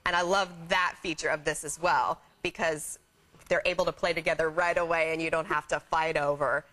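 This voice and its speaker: A woman's voice: sits low, fairly even intonation, fairly quick, a bit bright. A woman presenting products live on shopping television in an upbeat, chatty way, like sharing family stories. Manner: upbeat, nostalgic storytelling. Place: TV shopping studio. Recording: faint background studio noise.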